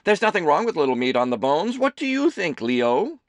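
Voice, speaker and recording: A man voicing a doting, gossipy, middle-aged mother who runs a diner, loud and theatrical. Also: very animated, normal speed, no room sound. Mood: teasing. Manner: loud, theatrical, high chirpy voice.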